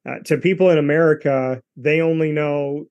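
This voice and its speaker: A man's voice: on the high side, fairly even intonation, moderate pace, a little mellow, clear, resonant tone, lo-fi sound. A man hosting a sports talk show, asking a guest long, winding questions and restarting his sentences. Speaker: podcast host, interviewer.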